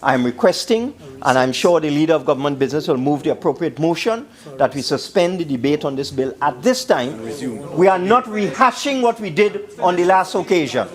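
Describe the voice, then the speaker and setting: A man's voice: a little high, animated, fairly quick. A man with a Trinidadian accent giving an emphatic parliamentary speech, repeating phrases for stress. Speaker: government minister speaking in parliament.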